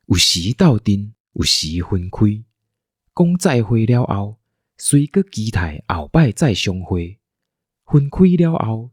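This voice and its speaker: A man with a Taiwanese accent narrating a scripted story as an audio drama narrator, in a husky voice. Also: on the low side, darkish tone, no echo, clean studio sound.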